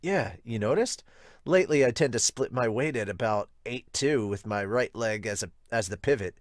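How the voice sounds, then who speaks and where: Man as teenage male athlete, manga dub, lowered pitch, quiet, earnest, matter-of-fact. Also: animated, normal speed, no room sound, studio quality, lightly acted. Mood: calm, thoughtful. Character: earnest, soft-spoken teenage male baseball player.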